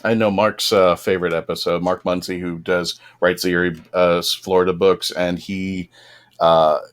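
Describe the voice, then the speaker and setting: A man with a fairly low voice talks as a panelist. His delivery is informal, like casual conversation among fans swapping recommendations. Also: flat delivery, moderate pace. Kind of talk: casual fan chat.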